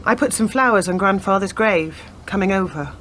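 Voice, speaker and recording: An actress playing a reserved, dry-witted young granddaughter in a radio play, with brief, probing replies. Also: female voice, medium pitch, fairly quick, natural voice. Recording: noisy amateur radio recording.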